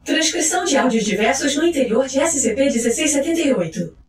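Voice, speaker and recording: A woman's voice: sits high, animated, fast talker, heavy background noise. A woman reading scripted documentary-style fiction narration in a formal, clinical manner. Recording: roomy echo, faint music behind. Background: faint music.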